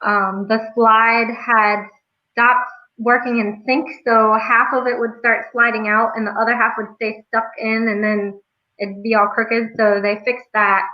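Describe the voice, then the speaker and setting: A woman's voice: fairly high pitch, little pitch movement, measured pace. A woman from Florida chatting casually as a guest on a show. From Florida, United States. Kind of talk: casual chat. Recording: echoey, dull video-call audio.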